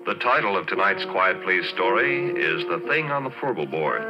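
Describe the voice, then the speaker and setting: Male vintage network announcer, American, formal read, lowish pitch, music bed, muffled old broadcast sound. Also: big pitch swings, moderate pace. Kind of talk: formal 1940s radio announcing. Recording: dull old radio recording. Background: loud music.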